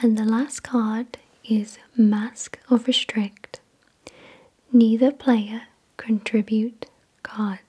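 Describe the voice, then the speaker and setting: Slow, breathy whispering ASMR woman. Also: fairly high pitch, little pitch movement, mellow. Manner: slow, deliberate, measured reading. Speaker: ASMR video creator and host. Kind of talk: soft-spoken ASMR, reading card text aloud.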